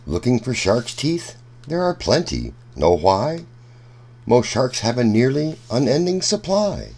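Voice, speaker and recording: A man reading a rhyming children's book aloud in a deliberate, sing-song storytelling manner. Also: mid-range voice, sing-song, darkish tone, breathy edge.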